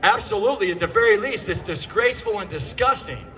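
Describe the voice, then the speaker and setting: American male activist, high and forceful voice, impassioned rally oratory with emphatic, projected phrasing. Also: expressive, quick pace, slight background noise. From United States. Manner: emphatic, repeats key phrases for effect; projected, often shouting. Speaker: political activist addressing a rally.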